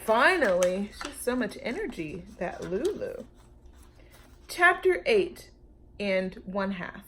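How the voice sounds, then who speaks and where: A woman reading a children's storybook aloud as a storytime teacher, expressive and speaking directly to young listeners. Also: mid-range voice, slow pace, low-quality recording.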